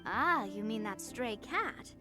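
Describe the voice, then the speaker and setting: A woman voicing a matter-of-fact storybook creature in a video game, expressive and animated. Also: on the high side, slow pace. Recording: music behind.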